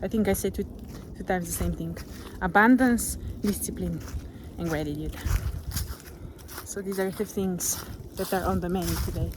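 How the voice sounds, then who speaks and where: A woman with a Slovenian accent, speaking English as a second language in a gentle, reflective motivational vlog while walking outdoors, sometimes searching for words. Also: fairly low pitch, normal speed, background noise. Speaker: vlogger. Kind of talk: reflective motivational monologue to camera. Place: outdoors on a nature walk. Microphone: camera held while walking.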